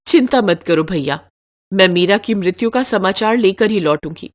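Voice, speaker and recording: A woman's voice: medium pitch, expressive, moderate pace, natural voice. A woman voicing a haughty royal sister-in-law in a devotional story, speaking formally and emphatically. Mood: scheming.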